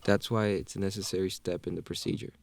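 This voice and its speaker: A man playing a calm, emotionless plastic surgeon in an audio drama, speaking in a measured, formal, clinical way. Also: low voice, vocal fry, no room sound. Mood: detached, formal.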